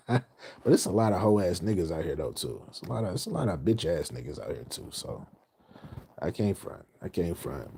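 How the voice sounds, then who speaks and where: A low-pitched man with a dark, mellow hosts a late-night livestream, reading comments aloud. He is middle-aged and from Houston, and he rambles in slang, restarting and repeating lines as he goes. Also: slightly rough. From Houston, Texas. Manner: loose, slangy, repeats phrases, trails off. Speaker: livestream host reading chat.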